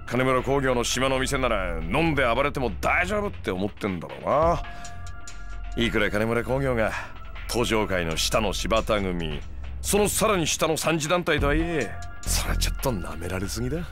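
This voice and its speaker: A man's voice: medium pitch, sing-song, normal speed, fairly bright tone, soft background music. An actor playing a smug, laid-back male moneylender in his thirties, lecturing gangsters in a glib, needling way in a game cutscene. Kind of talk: video game cutscene acting.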